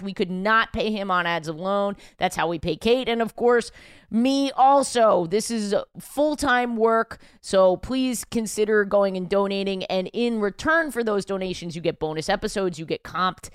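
A woman's voice: on the high side, clear, resonant tone, dry recording, studio quality. A young adult woman hosting a podcast, telling a story in a wry, self-deprecating, casual way. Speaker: podcast host.

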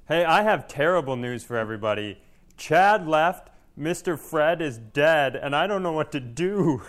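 A man's voice: a little high, expressive, moderate pace. A man co-hosting in casual comic banter, quippy and free with swearing. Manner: profane, quippy. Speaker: podcast co-host.

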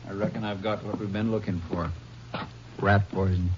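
An actor playing a plain-spoken, sympathetic country sheriff in an old-time radio western. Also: male voice, fairly low pitch, flat delivery, normal speed, darkish tone, a little hiss or hum, lightly acted. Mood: matter-of-fact. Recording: muffled vintage radio recording.

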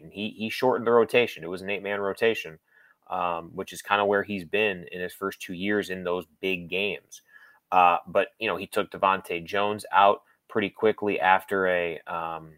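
A man working as a sports broadcaster gives conversational analysis as a guest, thinking aloud in a halting way with repeated phrases. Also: low-pitched, fairly bright tone, no room sound.

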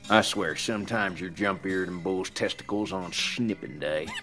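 A man voicing a crude, teasing trucker in his fifties who mutters and drawls in a low country manner. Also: normal speed, over music, lightly acted. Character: gruff, crude older male trucker, teasing.

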